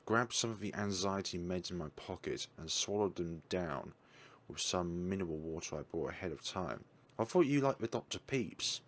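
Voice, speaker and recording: Deep-voiced man reading a visual novel.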